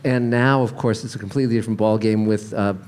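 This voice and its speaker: A man's voice: lowish voice, steady tone, darkish tone, a little hiss or hum. Older male showrunner, casual panel host, stop-start delivery, handheld mic. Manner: halting, many restarts and fillers. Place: convention panel room.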